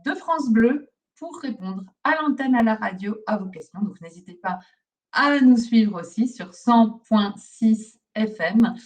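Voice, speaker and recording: A woman from Belgium with a fairly high voice hosts an informal teaching session. She explains things in a chatty, encouraging way, as a trainer would. The voice sits slightly away from the microphone. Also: lively intonation, a little slow, recorded from a distance. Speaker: host, pharmacist and aromatherapy trainer. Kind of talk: informal live question-and-answer teaching.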